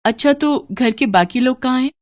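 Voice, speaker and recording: A woman voicing a gentle, devoted young wife in a dramatised moral story, earnest, soothing and respectful. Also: sits high, normal speed, no room sound, studio quality, pitched above the performer's own voice, close to an everyday voice. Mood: polite.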